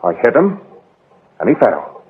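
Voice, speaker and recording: Husky, defensive villager. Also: male voice, mid-range voice, big pitch swings, heavily acted. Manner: halting, earnest, defensive. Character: defensive, loyal village man confessing to a killing. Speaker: actor in a radio drama. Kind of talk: British radio drama acting. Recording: lo-fi, narrow-band radio drama recording.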